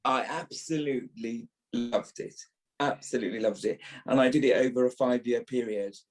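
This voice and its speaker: British male, early 50s, voice-trained actor, medium pitch, reminiscing podcast guest. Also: moderate pace. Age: early fifties.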